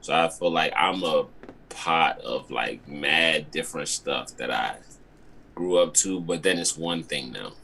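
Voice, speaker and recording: A man's voice: rumbling low, unhurried, gravelly, sharp tone, a little hiss or hum, rough recording. A young man from Maryland, guest artist on a video call, talking casually and loosely with lots of fillers and slang. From Maryland, with early childhood in Ghana.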